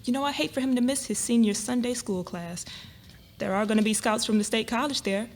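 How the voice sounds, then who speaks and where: A woman's voice: on the high side, brisk, lightly acted. A woman playing an affectionate middle-aged wife and mother, chatty and easygoing, in a dramatic reading. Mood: chatty, cheerful.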